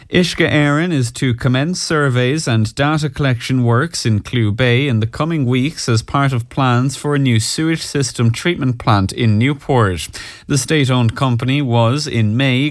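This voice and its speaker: A man presenting the morning news on regional radio, reading headlines in a measured, formal way and then questioning a guest. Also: mid-range voice, no room sound, studio quality. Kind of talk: news bulletin reading and phone interview. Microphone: studio microphone.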